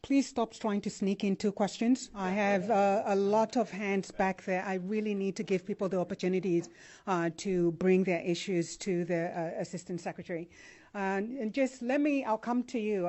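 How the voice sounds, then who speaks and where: A woman moderating a public forum, brisk and polite, reading out questions. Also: medium pitch, darkish tone. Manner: brisk, polite, with frequent uh fillers.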